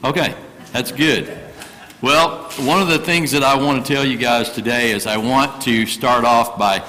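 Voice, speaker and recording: A man's voice: medium pitch, fairly even intonation, a little slow, pushed and bright, big-room sound, rough recording. An older man, preaching in a church through a microphone and telling a personal story in an earnest, folksy way. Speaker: guest preacher. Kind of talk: sermon. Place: church sanctuary. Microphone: microphone through speakers.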